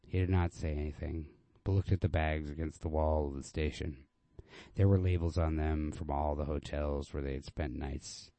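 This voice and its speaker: A man reading a literary short story as narrator, in a measured, understated manner. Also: rumbling low, fairly even intonation, dark tone, dry recording. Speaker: story reader.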